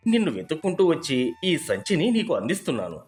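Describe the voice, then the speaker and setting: A man's voice: on the high side, huge pitch swings, fairly quick, darkish tone, faint music behind. A man playing an earnest, persuasive lawyer in a moral story, formal, firm and reassuring. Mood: solemn, kindly. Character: earnest, persuasive male lawyer delivering a bequest. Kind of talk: dramatised moral storybook dialogue.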